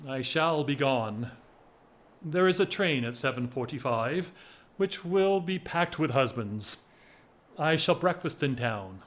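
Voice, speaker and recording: An actor playing a whimsical, lovesick English gentleman of forty-one in a period audio drama, wry, measured and self-mocking. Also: medium pitch, expressive. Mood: wry, flippant.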